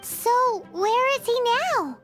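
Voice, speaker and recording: A woman voicing a tiny, bratty fairy companion in a video game, childlike and squeaky, bubbly and cheeky, exclaiming over music. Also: lively intonation, slow, a bit bright, heavily acted. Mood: inquisitive. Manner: exclamatory, refers to herself in the third person. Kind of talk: video game character acting.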